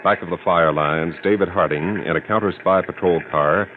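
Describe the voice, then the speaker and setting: A man's voice: low-pitched, normal speed, soft background music. A man working as an old-time radio announcer, reading ads and scene narration with an emphatic, salesy manner. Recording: narrow old radio broadcast with faint hiss.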